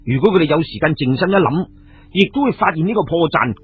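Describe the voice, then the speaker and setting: A man's voice: fairly high pitch, animated, fast talker, breathy edge. A man narrating a dramatic serial story as a storyteller, emphatic and full of asides. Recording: tinny narrow-band radio sound, music behind. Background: background music.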